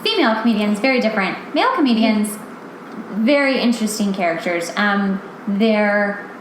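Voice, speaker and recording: A woman hosting a casual podcast chat, bubbly and eager, quick to laugh and react to her guest. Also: mid-range voice, lively intonation. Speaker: podcast host. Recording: echoey room with faint noise.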